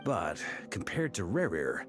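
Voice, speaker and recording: A man's voice: low-pitched, huge pitch swings, unhurried, music in the background, heavily acted. A man voicing a hearty, confident, pragmatic battle commander in a video game, with a rousing manner. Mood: measured, pragmatic.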